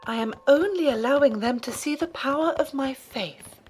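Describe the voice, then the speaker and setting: A woman playing a serene, devout warrior nun, polite, formal and gently superior, in an audio drama. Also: high-pitched, normal speed, slight background noise, close to an everyday voice. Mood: dry, composed.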